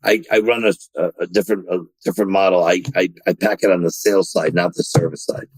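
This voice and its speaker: A man's voice: low voice, steady tone, fast talker, no echo, low-quality recording. A man being interviewed on a podcast about running a car dealership, talking in bursts with many restarts and repeated words. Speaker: dealership general manager, guest.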